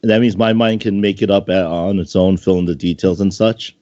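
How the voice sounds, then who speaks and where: A laid-back man with a lowish voice chats off the cuff as a contest judge. He has a joking, informal way of talking, on a dry, dull lo-fi recording. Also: fairly even intonation, brisk, dark tone, dry recording. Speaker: online contest co-host and secondary judge. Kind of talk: casual unscripted chat.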